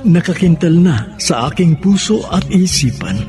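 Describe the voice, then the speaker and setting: High-pitched, theatrical male radio drama host. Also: animated, normal speed, over music. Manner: theatrical, poetic. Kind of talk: sentimental scripted radio narration.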